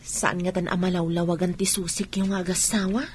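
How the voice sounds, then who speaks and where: A woman's voice: lowish voice, flat delivery, normal speed, a little hiss or hum, close to an everyday voice. A woman acting as an inquisitive, concerned friend in a radio drama, conversational and questioning. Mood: curious, concerned.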